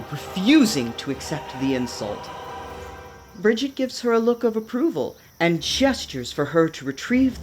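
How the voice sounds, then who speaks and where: A woman reading scripted narration for a radio play, describing the action as narrator. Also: medium pitch. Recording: music behind the narration. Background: background music.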